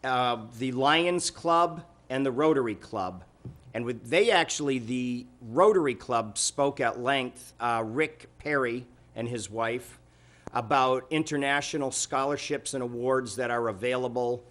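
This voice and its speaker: A man's voice: mid-range voice, unhurried, fairly bright tone. Man chairing a city council meeting. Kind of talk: informal meeting remarks and updates.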